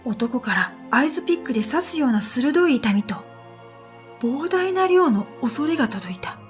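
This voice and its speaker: A woman narrating a scripted first-person radio drama in a gentle, unhurried way, with breathy phrase endings. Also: high-pitched, expressive. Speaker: narrator and storyteller. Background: new-age music.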